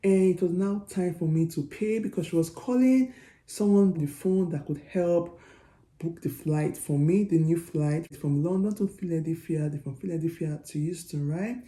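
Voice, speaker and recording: Young nigerian woman vlogging, low warm voice, chatty storytelling, bit of room echo. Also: normal speed. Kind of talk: storytelling to camera.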